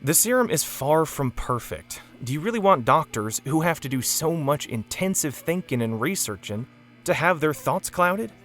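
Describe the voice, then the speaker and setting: An actor playing an earnest, loyal working stallion and parent in an audio drama, reasoning patiently. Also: male voice, fairly high pitch, sing-song, moderate pace, fairly bright tone, faint music behind. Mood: patient, defensive.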